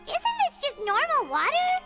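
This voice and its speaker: A woman voicing a tiny, childlike fairy companion in a video game, perky and inquisitive, with a squeaky voice. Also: sing-song, moderate pace, exaggerated voice. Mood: curious, skeptical. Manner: perky, inquisitive, refers to self in third person. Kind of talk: animated video game voice acting. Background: playful instrumental music.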